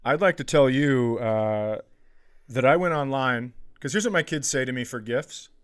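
A middle-aged American man in casual comedic conversation, telling stories in an animated way and acting out what others say. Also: medium pitch, normal speed, clear, resonant tone. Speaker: comedy podcast host.

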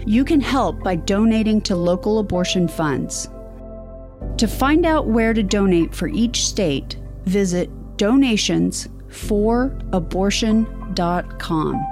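A woman reading a scripted public service announcement in a measured, earnest, clearly enunciated way. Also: medium pitch. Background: music.

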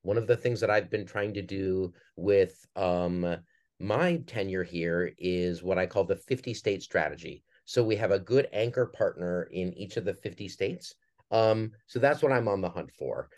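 A man's voice: low voice, a little mellow. A man speaking conversationally as a podcast guest, explaining his work. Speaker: podcast guest, artistic director.

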